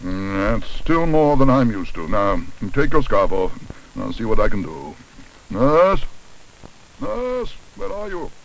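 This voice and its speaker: A man's voice: mid-range voice, very animated, unhurried, distant mic, a little hiss or hum, voice pushed up, rough recording. A man voicing a fussy, long-suffering male dentist, grudging and professional, in a children's storybook. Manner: hesitant hums and throat-clearing.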